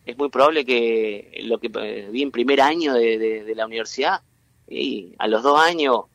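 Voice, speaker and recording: Man, Entre Ríos Argentine accent, mid pitch, halting, filler-heavy, dry recording. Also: fairly bright tone, no room sound, lo-fi sound. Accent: Entre Ríos Argentine Spanish. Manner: halting, many fillers and restarts. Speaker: guest, tech cluster president. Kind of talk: interview, explaining at length.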